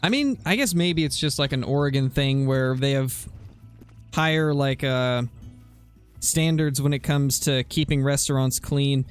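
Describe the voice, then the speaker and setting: A man's voice: medium pitch, unhurried, smooth, faint music behind. A young man from the US West Coast chatting casually with viewers while he plays, rambling in a laid-back way and saying "like" a lot. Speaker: streamer.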